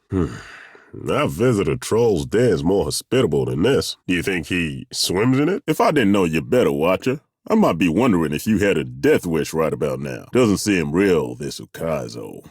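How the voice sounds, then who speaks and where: Husky, folksy big-hearted adventurer. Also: male voice, deep voice, very animated, darkish tone, dry recording, studio quality, exaggerated voice. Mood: impatient, wry, then humbled, wondering. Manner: folksy, joking, chuckling, drawn-out hesitations. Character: burly, good-humoured ageing male masseur and adventurer, folksy, self-deprecating. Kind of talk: video game character dialogue.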